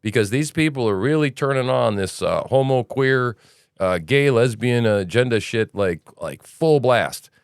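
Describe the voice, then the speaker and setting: A man's voice: mid-range voice, animated, measured pace, clear, resonant tone, no room sound, studio quality. A man hosting a casual news commentary podcast, rambling and opinionated, with sarcastic asides. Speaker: podcast host.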